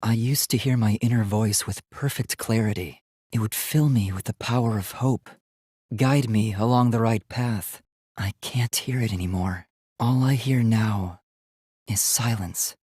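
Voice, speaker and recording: A man's voice: lowish voice, measured pace, mellow, husky, no room sound, clean studio sound. A man voicing an earnest, polite young male robot in a video game, speaking in formal, precise, literal-minded phrases. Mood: solemn, resolute.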